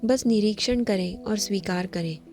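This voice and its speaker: A woman leading a scripted guided meditation, giving gentle, measured instructions. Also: medium pitch. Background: background music.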